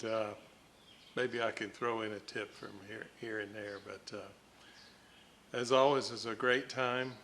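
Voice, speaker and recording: An older man giving casual, halting, folksy narration as a hobbyist at his workbench. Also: medium pitch, fairly bright tone, a little hiss or hum. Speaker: radio restoration hobbyist and host.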